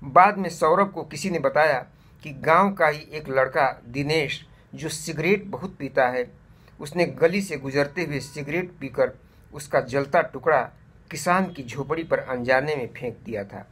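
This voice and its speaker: Male storyteller for kids' moral tales: husky, deliberate, slow-paced delivery. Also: on the high side, fairly bright tone. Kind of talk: scripted moral story narration for children.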